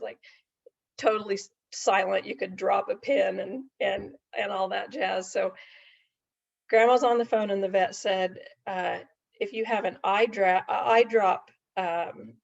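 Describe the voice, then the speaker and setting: A woman's voice: medium pitch, vocal fry. A middle-aged woman telling a casual story in a folksy, chatty way, full of asides and check-in questions. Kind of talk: casual anecdotal storytelling to an audience. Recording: dull lo-fi recording.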